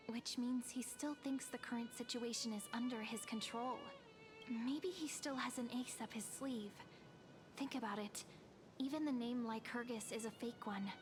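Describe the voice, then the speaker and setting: High-voiced, wistful young heroine played by a woman. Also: close to an everyday voice. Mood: playful, concerned. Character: gentle young heroine, wistful, playful and earnest. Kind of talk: video game story voice acting. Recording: game music behind the voice.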